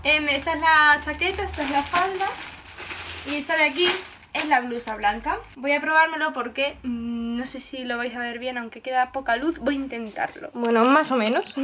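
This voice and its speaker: A woman's voice: high voice, normal speed, fairly bright tone, slight background noise. A young woman with a Castilian Spanish accent chatting casually and effusively to camera in a small apartment. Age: young adult. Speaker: lifestyle vlogger. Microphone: handheld camera or camera on desk, close range.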